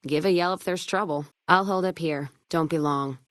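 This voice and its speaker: A woman's voice: deep voice, moderate pace, fairly bright tone, dry recording, studio quality. An actress playing a tough, hard-drinking frontier caravan woman in a video game, with an American accent and a folksy, sardonic, foul-mouthed way of talking. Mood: wry, laid-back. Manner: folksy, sardonic, drawling slang. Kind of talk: video game character voice lines.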